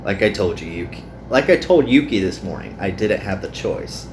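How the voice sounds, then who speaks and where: A man's voice: low voice, lively intonation, normal speed, a little hiss or hum. A man voicing a weary, self-deprecating young male worker in a visual novel.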